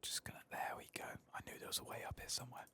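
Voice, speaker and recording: A man giving soft-spoken, partly whispered ASMR commentary on a game, murmuring and musing to himself close to the microphone. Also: racing. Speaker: gaming channel host.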